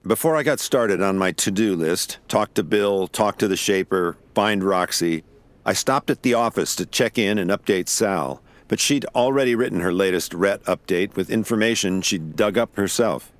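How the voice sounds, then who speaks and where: A man's voice: low-pitched, moderate pace, close to an everyday voice. An actor playing a weary, wry investigator who narrates his own case in an audio drama. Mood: wry, matter-of-fact. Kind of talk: first-person noir-style audio drama narration.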